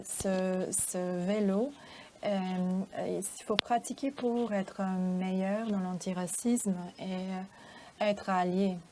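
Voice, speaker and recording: A woman speaking in a webinar panel discussion, slow and hesitant with many pauses and fillers. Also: medium pitch, mellow, slight background noise. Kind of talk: slow, halting spoken discussion.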